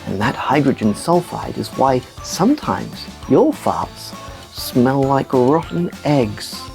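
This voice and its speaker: A woman voicing an enthusiastic puppet news presenter who explains science to children in an animated, emphatic storytelling way. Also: very deep voice, slow, creaky, soft background music, exaggerated voice. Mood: explanatory. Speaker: kids' show host. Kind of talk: scripted children's educational presenting.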